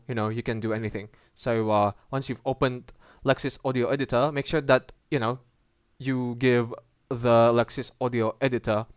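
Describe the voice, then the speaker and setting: A man presenting a casual app tutorial as a voice-over, with halting, informal and hesitant phrasing. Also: medium pitch, clear, resonant tone, dry recording, studio quality.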